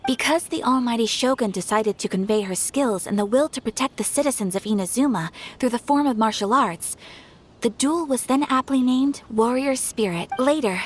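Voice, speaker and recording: A woman's voice: on the high side, moderate pace, slight background noise, close to an everyday voice. An actress playing a refined, courteous young noblewoman with a formal, poised, explanatory manner in a video game. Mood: composed, explanatory.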